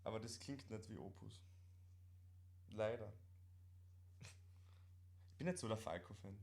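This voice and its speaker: A man's voice: lowish voice, a little slow, gravelly, a little mellow. A man with an Austrian accent chatting casually as co-host of a live stream, in colloquial dialect and often repeating words.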